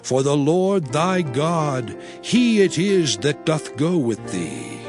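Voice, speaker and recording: A slow, solemn aged prophet. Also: male voice, fairly high pitch, very animated. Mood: solemn encouragement. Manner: grave, deliberate, declamatory. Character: Moses, aged prophet and leader, solemn and exhorting. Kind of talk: dramatized scripture reading, solemn oration. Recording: background music under the voice.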